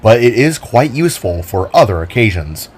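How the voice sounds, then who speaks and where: A man voicing a calm, formal male alien warrior in a homemade audio drama. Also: on the low side, normal speed, a little hiss or hum. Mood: explaining.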